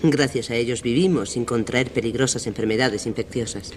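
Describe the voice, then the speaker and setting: An actor playing a learned, confident scientist in a radio drama, explaining things in a didactic, declamatory way. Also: male voice, medium pitch, fairly quick, a little hiss or hum, natural voice. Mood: explanatory. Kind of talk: radio theatre acting.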